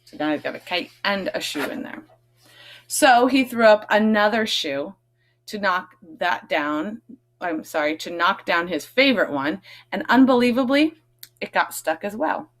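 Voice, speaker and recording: A woman's voice: medium pitch, expressive, measured pace, a bit bright. A woman reading a picture book aloud to children, stressing key words expressively and adding chatty, questioning asides. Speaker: read-aloud host, teacher reading to children.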